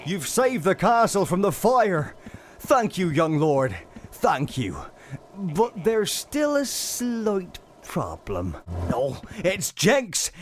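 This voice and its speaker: An actor playing an anxious, deferential servant to a young lord in a fantasy video game. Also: male voice, fairly high pitch, a little hiss or hum, full character voice. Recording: voice over loud game music.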